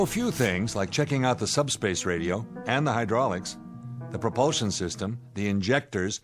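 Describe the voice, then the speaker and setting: Radio play lead: gruff, wry space commander, low voice with lively swings, weary and suspicious. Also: male voice. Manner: wry, curt, sarcastic. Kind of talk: radio drama acting.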